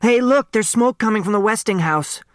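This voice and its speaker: Man voicing a high-pitched bratty young girl. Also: normal speed, dry recording, studio quality, close to an everyday voice. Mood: excited. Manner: snappy, blurting. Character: bratty young girl, loud, defiant, tantrum-prone younger daughter.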